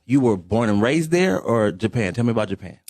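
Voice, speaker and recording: A man hosting a casual interview, asking short questions and giving relaxed backchannels. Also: medium pitch, fairly quick, no echo, studio quality. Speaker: host.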